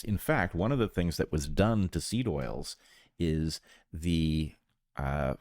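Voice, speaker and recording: A man co-hosting a podcast, explaining his ideas conversationally in deliberate, measured phrases with pauses. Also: low-pitched, a little mellow, no echo, clean studio sound. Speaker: podcast co-host, biologist.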